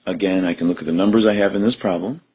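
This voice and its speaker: A man teaching a chemistry lesson and working through gas law problems in a steady, methodical way. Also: low-pitched, quick pace, husky. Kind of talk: recorded lesson explanation.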